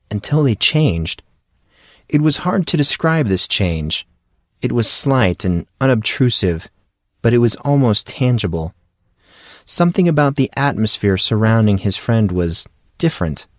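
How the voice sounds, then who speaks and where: Man, audiobook narrator, low pitch, dry close sound, scripted fiction reading. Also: dry recording.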